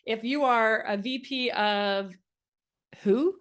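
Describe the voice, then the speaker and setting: A woman's voice: fairly high pitch, slow, a bit bright. A woman hosting a podcast interview, enthusiastic and emphatic, laughing often. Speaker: podcast host. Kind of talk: casual interview chat.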